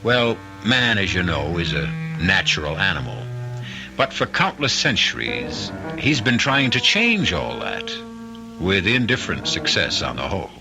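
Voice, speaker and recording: A man hosting and narrating an old-time radio mystery with dramatic, deliberate phrasing. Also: low-pitched, wildly swooping pitch, over music. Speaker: host and narrator.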